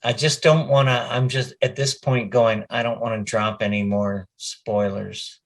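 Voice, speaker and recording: A man's voice: on the low side, fairly even intonation, measured pace. A middle-aged man, a voice actor, chatting casually as an interview guest.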